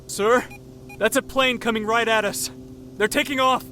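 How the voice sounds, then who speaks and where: A man's voice: extremely high pitch, steady tone, moderate pace. A man playing a dutiful young military co-pilot, plain-spoken and deferential, in a naturalistic audio drama. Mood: uneasy. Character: young male co-pilot, dutiful, soon to be father. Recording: loud aircraft engine noise.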